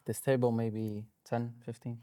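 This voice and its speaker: A man's voice: medium pitch, little pitch movement, a little slow, warm tone, clean tone. A teenage boy student answering hesitantly, with frequent fillers. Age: teens.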